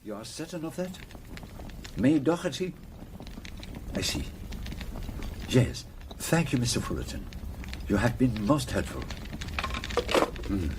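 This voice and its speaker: An actor playing a shrewd, courteous older detective in a radio drama, with a French-speaking Belgian accent and formal, precise, deliberate phrasing. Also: male voice, medium pitch, very animated, a little mellow, a little hiss or hum. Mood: thoughtful.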